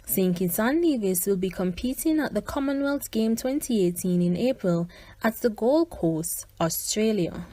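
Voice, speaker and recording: A woman reads scripted news. Her voice sits at an average pitch, recorded dry with hardly any room around it. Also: animated, normal speed, mellow, dry recording. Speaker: news reporter.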